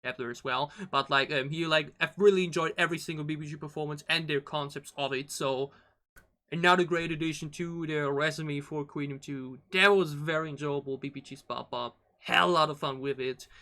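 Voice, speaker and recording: A man's voice: a little high, steady tone, fairly quick, bright, forceful tone. A man hosting reaction videos, talking casually in a rambling, enthusiastic way. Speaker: K-pop reaction video host.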